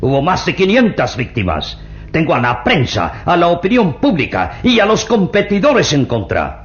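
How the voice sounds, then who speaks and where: Blustering tycoon father, middle-aged, wildly swinging pitch, dull old recording. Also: huge pitch swings, normal speed. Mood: exasperated. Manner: exasperated, blustering.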